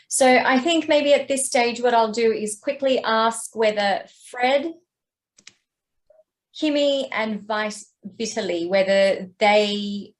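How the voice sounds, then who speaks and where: A woman chairs an online meeting over a video call, speaking slowly and deliberately. She calls up each speaker politely and apologizes readily. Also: medium pitch.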